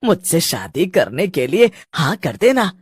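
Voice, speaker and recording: A woman puts on a young male village good-for-nothing for a Hindi cartoon. The voice is low and rough-edged, swooping widely as it wheedles and taunts. He sounds smarmy, spiteful and full of schemes. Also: big pitch swings, a little slow, darkish tone, no echo, clean studio sound, full character voice. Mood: pleading. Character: smarmy, spiteful young village loafer man, pestering suitor.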